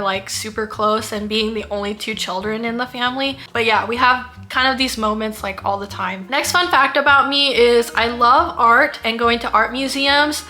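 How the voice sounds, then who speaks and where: A chatty woman in her thirties from the Philippines, whose first language is Kapampangan, talks casually to the camera, often using "like" and sometimes stumbling over her words. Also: fairly high pitch. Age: 30s. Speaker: vlogger. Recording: soft background music.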